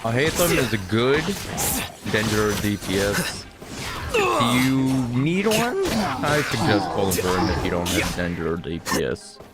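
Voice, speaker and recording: A man offering laid-back commentary as he plays a video game, speaking slowly in a low voice with loose, mumbled asides. Game music runs clearly behind him. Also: huge pitch swings. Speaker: gaming streamer. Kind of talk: casual gameplay commentary.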